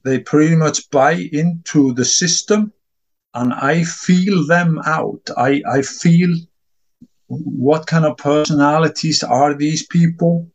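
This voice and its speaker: A man's voice: mid-range voice, a little mellow, dull audio. Earnest Icelandic-born man, middle-aged. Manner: earnest, repeats words when thinking, non-native grammar. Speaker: discus coach. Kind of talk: interview answer. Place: home.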